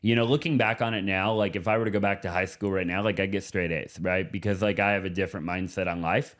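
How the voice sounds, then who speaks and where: A man's voice: low voice, steady tone, fast, fairly bright tone. A man of thirty from Arizona, a podcast guest talking in a laid-back, agreeable, reflective way. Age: 30s. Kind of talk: casual interview chat.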